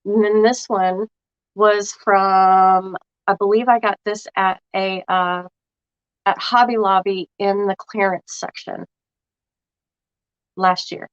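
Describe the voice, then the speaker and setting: A woman with a cold talks through a crafting project at an unhurried pace, her voice froggy and a little rough. She chats casually with her viewers, thinking aloud between fillers. The recording is dry and dull, like a lo-fi home setup. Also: mid-range voice, level tone, no echo. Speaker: craft vlogger.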